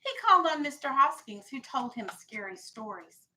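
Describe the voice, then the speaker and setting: Female teacher, higher-pitched, bright and clear-cut delivery. Animated children's story read-aloud with explanations and questions. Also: normal speed, slight background noise. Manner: animated, explains and checks understanding.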